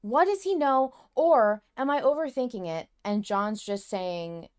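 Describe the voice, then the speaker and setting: A woman's voice: fairly high pitch, animated, measured pace, a little mellow, clear, resonant tone. A woman co-hosting a podcast, chatting casually and emphatically as a fan, with some swearing. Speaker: podcast co-host. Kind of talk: casual fan discussion of an audio drama.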